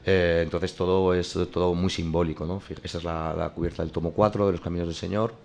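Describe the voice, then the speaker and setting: A man's voice: low voice, flat delivery, fast talker, vocal fry, a little mellow. A man who works as a comic artist, explaining his drawing process in a hesitant way, with many restarts and fillers. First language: not French.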